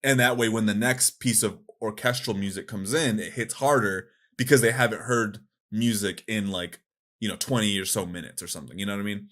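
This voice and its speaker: A man chatting casually as a podcast co-host, with an animated, informal manner. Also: fairly low pitch, a little fast. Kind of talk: casual podcast chat about video games.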